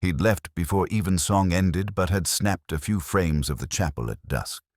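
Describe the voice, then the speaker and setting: A man giving a measured, brooding first-person narration of a detective story. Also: low-pitched, darkish tone, no echo, clean studio sound. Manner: measured, brooding, literary delivery.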